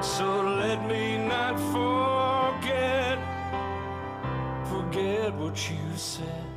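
A man singing a slow, heartfelt ballad on a recording with piano. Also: high voice. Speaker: singer-songwriter. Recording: song with loud music.

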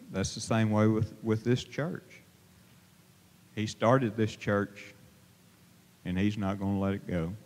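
Guy preaching into a handheld mic, lowish voice, church reverb hanging on every word. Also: a little mellow. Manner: plain-spoken, halting, many fillers and restarts. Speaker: lay preacher. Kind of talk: informal sermon. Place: church sanctuary, at a pulpit. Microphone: handheld microphone held to mouth. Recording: long reverb of a church hall.

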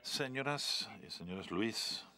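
A man interpreting a formal speech live, halting and correcting himself, with stretched words followed by quicker bursts. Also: lowish voice, lively intonation, sharp tone. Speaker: simultaneous interpreter.

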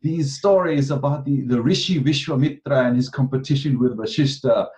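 A man in his thirties from Kenya, a guest astrology teacher, talking casually on a video call and pausing often with ums and restarts. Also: mid-range voice, a little mellow. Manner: hesitant, many ums and restarts. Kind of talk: casual interview talk. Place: home room, video call. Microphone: video call webcam. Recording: dull video-call audio.

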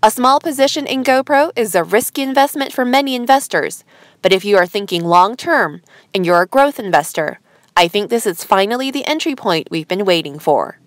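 A woman presents a scripted news bulletin as its host. Her pitch sits in the middle range for a woman, and her delivery is formal and measured. The sound is dry, with no room around it. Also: animated, no room sound. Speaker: news headline reader and host.

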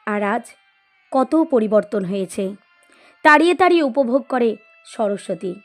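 A woman reading a scripted literary story as narrator, in an expressive storytelling style. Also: on the high side, moderate pace. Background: music.

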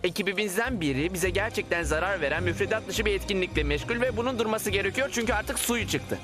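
A man's voice: high voice, lively intonation, fast talker. A man voicing an earnest, bossy team leader in a dubbed cartoon, with a commanding, exasperated manner. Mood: stern, exasperated. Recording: music under the voice.

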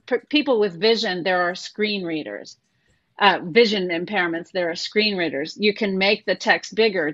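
A woman's voice: medium pitch, fairly bright tone, dry recording, highs cut off. A woman presenting a talk over a video call, chatty and asking her listeners questions. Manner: conversational, asks the group questions.

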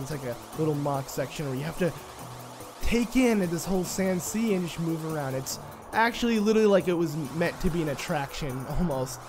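A man hosting a let's play, talking casually over gameplay in a stuffy, nasal voice and rambling excitedly with lots of fillers. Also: on the high side. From United States.

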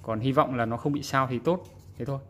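A man's voice: mid-range voice, a little fast. A man giving a casual, hands-on repair explanation in an informal, demonstrative way. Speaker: repairer presenting a repair video. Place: indoors at a repair workbench. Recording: background noise, room ambience.

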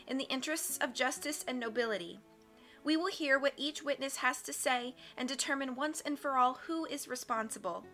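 A woman's voice: high voice, pushed and bright, pitched above the performer's own voice. A woman reading a stern female judge who presides over a trial, speaking formally and firmly.

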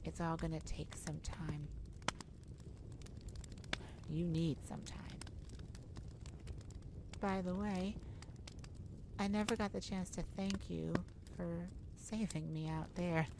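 A woman's voice: lowish voice, fairly even intonation, takes it slowly, pauses often, noisy background. A woman playing a nervous, caring mage who speaks hesitantly and tenderly in an ASMR audio roleplay. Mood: tender, relieved. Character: nervous, caring young mage rescuing a friend.

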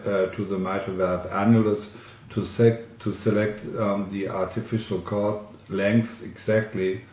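A man, a surgeon, giving a medical lecture over slides in a halting, hesitant way with many fillers. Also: lowish voice, little pitch movement, a little mellow. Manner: halting, hesitant delivery with frequent long fillers. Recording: roomy, echoing sound.

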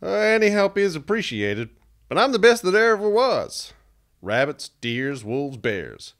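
An actor playing a huge, boastful hunter and trapper in a radio drama. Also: male voice, high-pitched, big pitch swings, unhurried, heavily acted. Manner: boastful, bragging.

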